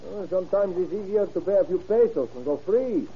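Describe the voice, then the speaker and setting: An actor playing a cowardly, wary Mexican smuggler who pleads and philosophizes, in old-time radio drama style. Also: male voice, high-pitched, animated, brisk, husky, heavily acted. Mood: easygoing, wary. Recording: muffled old radio recording.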